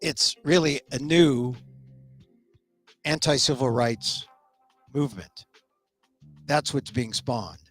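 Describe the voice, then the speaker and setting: A man's voice: mid-range voice, takes it slowly, pauses often, fairly bright tone, faint music behind. A middle-aged man hosting a solo political commentary show, opinionated and a little sniffly, often repeating words as he starts a thought. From United States. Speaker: talk show host.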